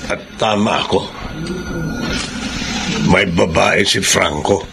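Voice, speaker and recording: An actor playing a protective older father in a radio drama, speaking gravely, deliberately and with emphasis. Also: low-pitched, full character voice. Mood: grave, insistent. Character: protective, grave older father exposing his son-in-law's affair. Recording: clear background noise.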